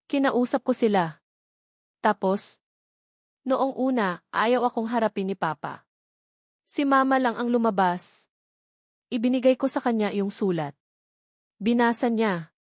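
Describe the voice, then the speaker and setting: A woman's voice: a little high, measured pace, long pauses, no room sound, natural voice. Female narrator as a young male lover, earnest, reassuring, protective, audio love drama, close dry recording. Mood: emotional, earnest.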